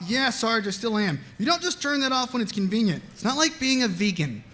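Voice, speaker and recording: An actor playing a mild, naive pacifist army medic, earnest, polite and eager, in a comedy table read. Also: male voice, extremely high pitch, sing-song, fairly quick, heavy background noise.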